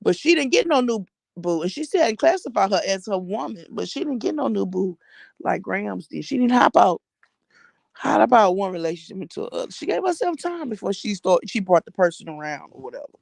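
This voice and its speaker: A woman's voice: medium pitch, very animated, a little fast, vocal fry, a little mellow, no room sound. An American woman giving casual gossip commentary, blunt and slangy with plenty of swearing, repeating phrases for emphasis. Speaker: vlogger commenting on social media personalities.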